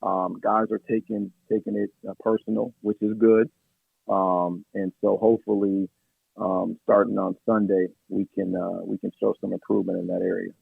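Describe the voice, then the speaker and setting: A man with a hoarse, raspy voice talks over a tinny phone line. He is an assistant coach answering questions in a steady, measured way, often pausing on fillers. His voice sits low with a gravelly edge. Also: no room sound. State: hoarse from yelling at practice. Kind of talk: radio interview answers.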